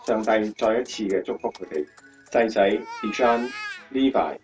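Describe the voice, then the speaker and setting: Man acting admiring storyteller, Cantonese, lowish voice, expressive radio drama narration, light music behind. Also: normal speed, natural voice.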